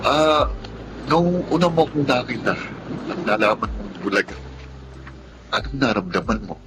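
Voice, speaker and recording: A man with a Filipino accent acting a gentle, earnest blind older brother in a radio drama, speaking tenderly and reassuringly. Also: medium pitch, sing-song, normal speed, background noise, lightly acted. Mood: warm, curious. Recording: dramatic music under the voice.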